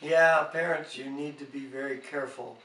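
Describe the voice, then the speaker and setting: A man reading scripture aloud in a halting way, stumbling now and then, recorded in a roomy space away from the microphone. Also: a little high, steady tone, fairly bright tone, slight background noise. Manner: halting, stumbling over names. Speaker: Bible reader. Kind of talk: reading scripture aloud with brief informal comments. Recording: roomy, distant home microphone.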